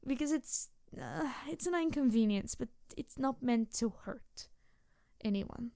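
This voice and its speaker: A woman voicing an excitable, playful young tanuki spirit in a roleplay audio, rambling and stammering as she talks to the listener. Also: fairly high pitch, measured pace, no echo, clean studio sound. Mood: apologetic, earnest.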